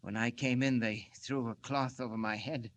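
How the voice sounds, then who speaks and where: Man as courteous scientist inventor, mid-pitched, fussy and earnest, 1940s radio serial acting. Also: steady tone, normal speed, natural voice. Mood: dazed, alarmed.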